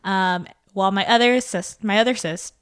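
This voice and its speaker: A woman's voice: medium pitch, normal speed, smooth. Chatty, laughing young woman guest. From small-town Tennessee, born in Indonesia. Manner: chatty and self-mocking, laughs often. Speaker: podcast guest. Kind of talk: casual interview chat.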